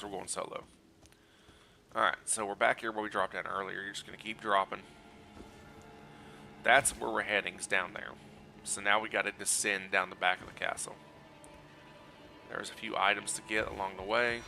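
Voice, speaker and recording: A man streaming a video game while sick, hoarse and scratchy, talking through his play in a relaxed, explanatory way and greeting his chat. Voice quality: scratchy, low energy. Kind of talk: casual gameplay walkthrough commentary.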